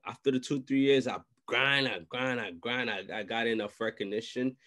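A man's voice: mid-range voice, steady tone, normal speed, a bit bright. A man, hosting a casual interview in slangy talk and repeating phrases for emphasis. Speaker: host. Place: small room at home, indoors. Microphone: large desk microphone close up, video call.

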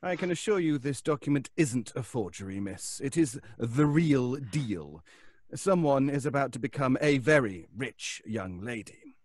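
Sly courteous lawyer guy, lively pitch, dry close sound, horror audio drama. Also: no room sound. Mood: polite, composed. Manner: polite, measured, slyly amused. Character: smooth, wryly patient lawyer serving a dead boss.

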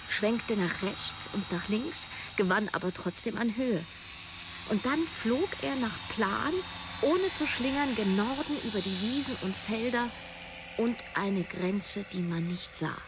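An actress playing a woman writer in her thirties, narrating her own story in measured, literary phrases for a radio drama. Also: medium pitch, background noise, lightly acted. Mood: intense, dreamy. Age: 30s. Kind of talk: scripted first-person narration, radio drama. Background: music.

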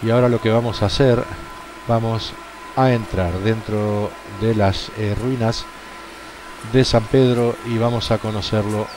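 A man leads a walking tour through outdoor ruins, speaking slowly and deliberately with frequent pauses. His voice sits fairly low. A faint outdoor ambience runs behind him on a camera mic. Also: steady tone, background noise. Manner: hesitant, many "eh" fillers, slow and deliberate. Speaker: tour guide. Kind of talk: unscripted walking tour commentary.

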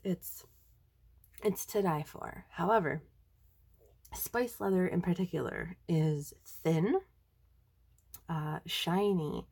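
A woman's voice: lowish voice, creaky, mellow, slightly rough. A young woman with a stuffy, nasal voice from a sinus infection, chatting casually through a planner tutorial at her desk. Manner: chatty, enthusiastic, many ums. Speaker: planner video creator. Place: indoors at a desk.

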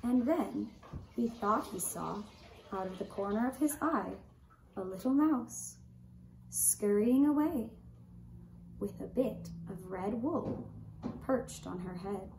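A woman narrating a scripted children's story in a deliberate way, with dramatic pauses. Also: medium pitch, animated, darkish tone. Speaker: puppet show storyteller. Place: indoor room with draped puppet stage. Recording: roomy echo, faint background noise.

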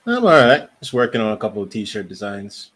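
A young Jamaican man whose first language is Jamaican Creole, chatting on a video call through a headset and explaining things at a loose, relaxed pace. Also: on the low side, a little fast, slightly rough. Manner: relaxed, explains at length with restarts. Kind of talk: casual video chat. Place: dim indoor room.

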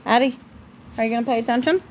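A woman reading a picture book aloud to a child at home, breaking off for informal, gently correcting asides. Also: fairly high pitch, quick pace, a little hiss or hum. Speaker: parent reading a bedtime story to a child.

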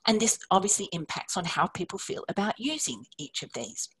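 Husky woman presenting research. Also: mid-range voice, sing-song, poor audio. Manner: measured, explanatory, occasional fillers. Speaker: academic presenter. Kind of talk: conference presentation walking through slides.

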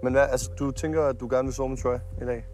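A young man with a mid-pitched voice who talks bluntly and straight to the point. He chats casually outdoors at night while faint music plays underneath. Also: fairly even intonation, a little mellow. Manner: direct, blunt questioning. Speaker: reality show contestant. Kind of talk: casual conversation. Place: outdoor villa garden at night.

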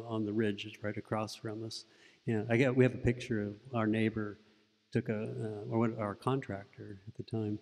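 Soft-spoken middle-aged monk, deep mellow voice. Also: male voice, big-room sound. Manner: relaxed storytelling with frequent restarts. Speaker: Buddhist monk giving a talk. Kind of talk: informal dharma talk. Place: indoor meditation room with paper screens. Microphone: stand mic close in front.

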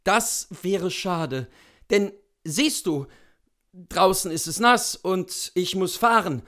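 A young coachman acted by a man in a storybook reading. He is eager yet awkward, with a raised, high voice and an earnest, coaxing way of asking. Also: slow pace, a bit bright. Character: young coachman lad, eager, embarrassed, pleading.

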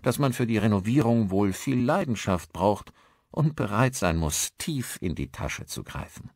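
A man acts out a friendly village pastor in an audiobook. He is courteous and talkative, with very lively intonation in a dry recording. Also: on the low side, normal speed, dry recording. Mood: affable. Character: friendly, chatty village pastor, male, married.

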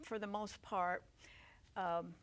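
Older woman, talk show host, animated, leading questions, lapel mic, studio. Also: mid-range voice, slow pace, smooth. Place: TV studio set with living room decor.

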